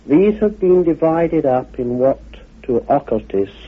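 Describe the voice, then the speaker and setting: A man delivers a measured lecture in short, deliberate phrases. His pitch rises and falls widely and the voice is a little husky. It comes through a thin, tinny radio recording. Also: expressive. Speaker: guest speaker on occult teachings.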